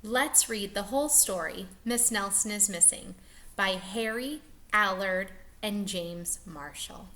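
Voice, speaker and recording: A woman teacher reading a picture book aloud to young children, expressive and stretching words for emphasis. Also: mid-range voice, slow.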